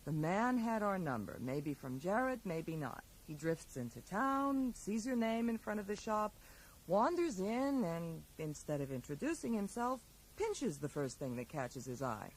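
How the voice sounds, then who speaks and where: A woman's voice: lowish voice, sing-song, a little slow, low-quality recording. An actress playing a wry, sceptical, practical woman in a radio drama, with a dry and teasing manner. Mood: exasperated. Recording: mild hiss, 1980s radio drama.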